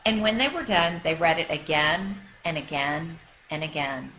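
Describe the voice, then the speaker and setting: A woman's voice: deep voice, expressive, normal speed, sharp tone, slight background noise, low-quality recording. A woman teacher reading a children's story aloud expressively, with an emphatic, teacherly delivery aimed at young children. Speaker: kindergarten teacher.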